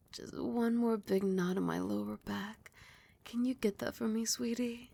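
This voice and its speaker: A woman voicing a doting, commanding vampire queen in an intimate ASMR roleplay, with formal, coaxing speech and a breathy voice that often drops into whispers. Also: fairly high pitch, steady tone, mellow, exaggerated voice. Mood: pleased, tender. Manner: slow, formal, coaxing pet names.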